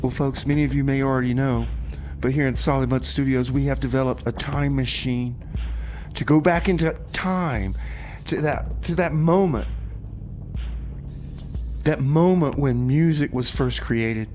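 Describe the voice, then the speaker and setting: A man's voice: medium pitch, unhurried, darkish tone. Rambling male radio host, faint noise. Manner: rambling, conversational. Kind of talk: casual radio music show chat with comedy bits. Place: radio studio.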